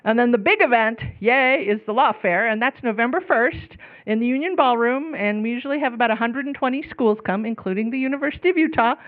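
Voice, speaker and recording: A woman's voice: a little high, fast. Chatty woman advisor on a thin mic. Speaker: pre-law advisor. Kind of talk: informational talk to students. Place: lecture room, on microphone. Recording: phone-like thin room recording.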